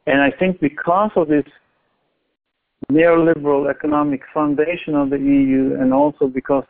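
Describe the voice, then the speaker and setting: A man's voice: on the high side, steady tone, normal speed. A man from Norway, an author being interviewed, speaking thoughtfully with many restarts and fillers. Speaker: author guest. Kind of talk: interview talk. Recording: tinny phone-line audio.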